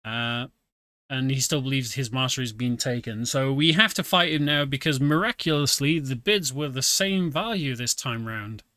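A man's voice: medium pitch, normal speed, sharp tone, no room sound. Chatty man narrating a game. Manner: chatty, explains the story as he plays. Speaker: game streamer. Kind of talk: casual gameplay commentary. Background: game music.

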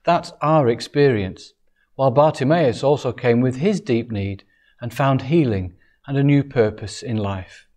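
A middle-aged man delivers a short sermon. His voice sits at a middle pitch, and he speaks in an earnest, measured way. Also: a little mellow. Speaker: Salvation Army officer preaching. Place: inside a church hall.

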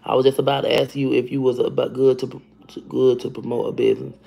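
A woman's voice: fast, vocal fry, rough recording. A woman, chatting bluntly and profanely with viewers on a phone livestream from her kitchen. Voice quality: deep voice for a woman. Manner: blunt, profane, animated, talks to viewers by name. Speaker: livestream host. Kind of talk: casual livestream chat reading comments. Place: kitchen at home, indoors. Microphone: phone camera at arm's length.

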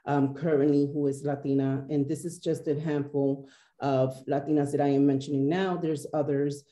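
A woman with a low, very dark and mellow voice speaks earnestly on a webcam panel. She talks haltingly, pausing often. She is in a small office with a roomy echo. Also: flat delivery. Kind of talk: panel discussion on a video call. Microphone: video call webcam.

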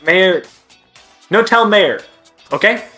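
Man doing a high-pitched little kobold guard. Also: lively intonation, slow, pushed and bright, soft background music, full character voice. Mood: secretive. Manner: clipped broken-grammar speech. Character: little red male kobold guard, gruff, eager, furtive.

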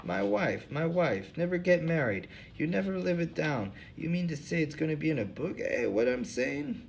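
A man's voice: expressive, normal speed, gravelly, slight background noise. A man voicing a whiny old male hobo who keeps begging for drink money. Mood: wheedling. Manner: pleading, nagging, higher-pitched.

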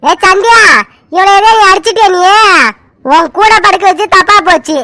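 Woman playing a bright, nagging old grandmother. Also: extremely high pitch, normal speed, no room sound. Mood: scolding. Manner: nagging, commanding. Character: scolding old grandmother, bossy and protective. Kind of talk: dramatised family story dialogue.

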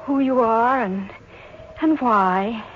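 A woman's voice: a little high, slow, slight background noise. An actress playing an earnest, inquisitive woman who narrates and acts in a 1940s radio drama. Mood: earnest, pleading. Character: earnest, inquisitive woman recalling her youthful courtship. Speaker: narrator and leading lady. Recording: muffled 1940s radio recording, music.

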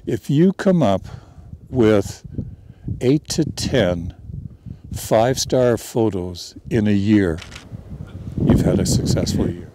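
An older man offers practical tips in a low, mellow voice. He talks off the cuff in clipped phrases. He is recorded outdoors with some background noise. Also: lively intonation, slow, pauses often. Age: 60s or older. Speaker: experienced bird photographer giving tips. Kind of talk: off-the-cuff interview advice. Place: outdoors, open grassland.

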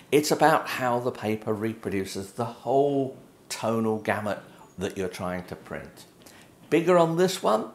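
Lively English man explaining at his desk. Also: fairly low pitch, roomy sound. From England. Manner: conversational, gestures at prints while explaining. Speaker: photography educator. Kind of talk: unscripted explanatory talk to camera. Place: home office with bookshelves, indoors.

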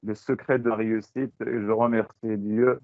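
A Moroccan man whose first language is Tachelhit, giving a halting teaching talk on a video call, repeating words and slipping into Moroccan Arabic. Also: fairly low pitch, flat delivery, no room sound. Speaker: teacher trainer. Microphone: computer mic on a video call. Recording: dry, phone-like call audio.

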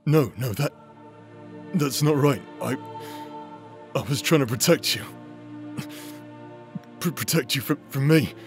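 A man acting a tormented, possessed warrior in a dramatic fantasy audio roleplay, halting and stammering through broken phrases. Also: mid-range voice, faint music behind. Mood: distressed, fearful. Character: tormented warrior possessed by voices in his head.

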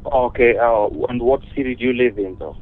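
A man handling a business call over the telephone, polite but halting and often repeating himself. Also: medium pitch. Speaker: music lesson company owner. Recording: muffled, narrow telephone line.

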